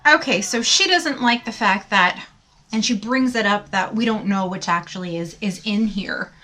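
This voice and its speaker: A woman's voice: mid-range voice, normal speed, sharp tone. A woman hosting a beauty channel, chatting casually to viewers in a rambling way. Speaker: beauty vlogger host.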